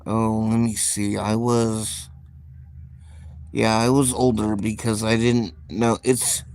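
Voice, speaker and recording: A man hosting a casual live stream, talking in a slow, soft-spoken, reflective way with frequent pauses. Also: fairly low pitch, level tone, slight background noise. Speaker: livestream host.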